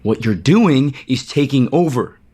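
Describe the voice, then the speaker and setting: An actor playing a defensive, insecure company chief in an audio soap opera, curt and emphatic. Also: male voice, medium pitch, expressive, a little slow, a little mellow, slightly rough. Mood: irritated. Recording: music bed under the dialogue.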